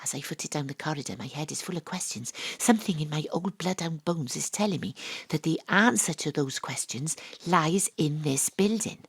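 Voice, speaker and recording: A woman's voice: low-pitched, normal speed, hardly any pauses, breathy edge. An actress playing a dry, sardonic woman detective who questions suspects and narrates her case in an audio drama. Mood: wry, confiding.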